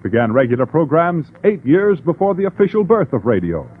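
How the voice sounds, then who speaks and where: A man's voice: a little high, big pitch swings, fairly quick, breathy edge. A man narrating in an emphatic, theatrical scripted 1940s radio style. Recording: tinny 1940s radio broadcast.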